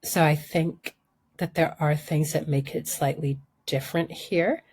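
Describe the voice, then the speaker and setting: A woman from Sudan whose first language is Arabic, speaking as a podcast guest in a thoughtful, measured way. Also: sits low, fairly even intonation, a little mellow, slightly rough. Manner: thoughtful, measured, reframes questions. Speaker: guest, environmental journalist. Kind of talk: conversational interview.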